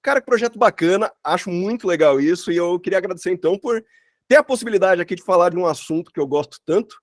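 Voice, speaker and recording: A man's voice: sits high, expressive, fast, dry recording, studio quality. A man teaching an online workshop in an informal, chatty way full of slang and filler tags. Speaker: workshop instructor, sound designer. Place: online video call.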